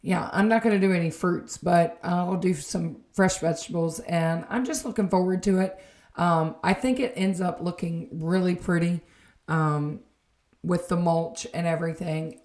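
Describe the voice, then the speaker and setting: A woman narrating a home garden vlog late at night, slightly raspy and tired, rambling with many ums and self-corrections. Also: lowish voice, fairly even intonation, normal speed, darkish tone, reverberant. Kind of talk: late-night voiceover, casual chat. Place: indoor room at home, voiceover.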